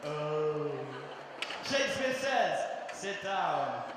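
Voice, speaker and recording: A young man in his twenties who leads children in a loud, playful call-out game from a stage. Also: on the high side, very animated, very slow, noisy background. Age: 20s. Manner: loud, playful, calls out commands. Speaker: actor leading a children's game. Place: theatre stage in a large hall. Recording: echoey hall from far off with crowd.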